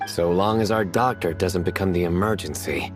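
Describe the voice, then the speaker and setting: A man voicing a stern warrior who speaks tersely with a dry, deadpan humor. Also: low-pitched, normal speed, music in the background, natural voice.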